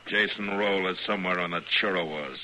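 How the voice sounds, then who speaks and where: An actor playing a weary, level-headed frontier marshal in an old-time radio western, speaking in a measured, plain way. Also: male voice, sits low, sharp tone, slight background noise. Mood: grave, weary. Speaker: lead actor.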